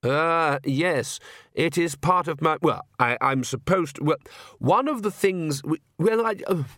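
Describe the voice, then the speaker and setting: A man's voice: fairly high pitch, measured pace, a bit bright, no echo, studio quality, voice pushed up. A man voicing a nervous, awkward young priest who stammers and talks with hesitant politeness. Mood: stammering.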